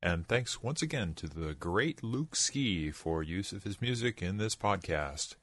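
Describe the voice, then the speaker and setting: Low, dry-sounding male podcast co-host. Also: lively intonation, unhurried, fairly bright tone, dry recording. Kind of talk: casual podcast chat.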